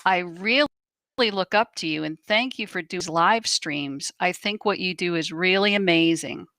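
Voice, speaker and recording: A woman co-hosting a stream, reading viewer comments aloud in a deliberate way. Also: lowish voice, fairly bright tone, no room sound.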